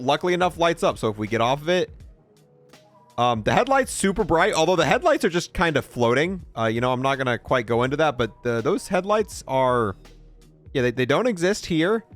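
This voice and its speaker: Gaming creator: young male, informal and slangy, very varied pitch, casual gameplay review over music. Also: big pitch swings, moderate pace.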